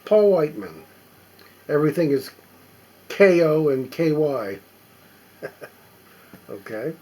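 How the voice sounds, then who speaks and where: A man casually talking to himself as he sorts a stack of old records, reading the labels aloud in a halting, musing way. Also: a little high, sing-song, dark tone. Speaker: record collector. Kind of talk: casual running commentary while sorting records.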